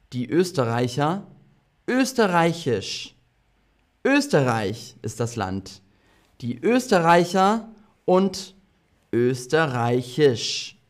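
A man teaching a slow classroom language lesson to a group of students, repeating each word and phrase clearly for learners. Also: a little high. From half German, half British. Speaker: German teacher.

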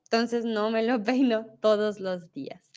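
A young woman with a Bogotá accent teaches Spanish on a live stream. Her voice sits a little high and she speaks slowly, explaining each point with patience. Now and then she stops to read viewer comments aloud. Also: fairly even intonation, a little mellow, clear, resonant tone. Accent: Colombian, Bogotá. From Colombia. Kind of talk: live-stream language lesson reading chat.

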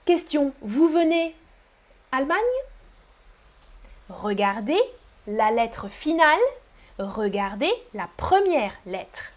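A woman with a high voice runs a live French class at an unhurried pace. She pronounces each word carefully for learners and keeps cheering them on with repeated praise. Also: expressive. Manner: encouraging, repeats praise words; slow, careful articulation for learners. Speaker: French teacher. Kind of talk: live-streamed language lesson reading chat.

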